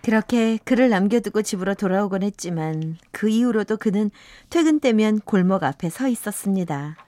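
A woman's voice: medium pitch, animated, moderate pace, dark tone, dry recording, natural voice. A woman playing a wistful narrator in her fifties, telling a sentimental first-love story for a radio drama. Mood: wistful, reflective. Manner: sentimental, reflective.

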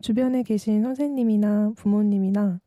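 Young woman, 20, late-night radio DJ; gentle, reflective scripted delivery, deep mellow timbre, dry sound. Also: steady tone, moderate pace, no room sound.

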